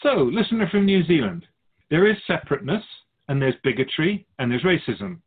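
A man's voice: a little high, big pitch swings, brisk, husky, narrow, tinny audio. A man playing a wise, wry otherworldly spirit guide in a radio drama, teaching in formal, didactic phrases. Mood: earnest, instructive. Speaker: spirit teacher answering questions. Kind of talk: scripted radio drama dialogue, expository.

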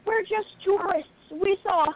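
A woman plays a young princess detective in a radio drama. She is earnest, determined and insistent, with a very high-pitched voice on thin, phone-like audio. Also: measured pace. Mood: resolute. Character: determined young princess turned amateur sleuth. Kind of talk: radio play acting.

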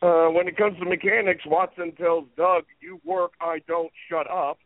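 A man's voice: high voice, steady tone, normal speed, dry recording. A man on a phone call, reading off a string of dry joke recaps with frequent "uh" fillers during a casual call-in show. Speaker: co-host giving a recap. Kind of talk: casual call-in radio chat. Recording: tinny phone line.

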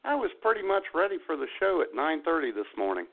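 Animated phone-line male radio host. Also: a little high, quick pace, vocal fry, breathy edge. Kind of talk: casual comic banter. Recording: thin phone-like radio audio.

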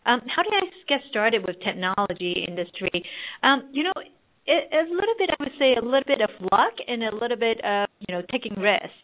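Woman from hong kong on the phone, upbeat, lots of um's, thin phone sound. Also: medium pitch. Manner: relaxed, frequent ums, upbeat. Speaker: guest, marketing director. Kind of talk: phone interview. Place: at home or office on the US West Coast. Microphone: phone handset. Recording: phone line, narrow band.

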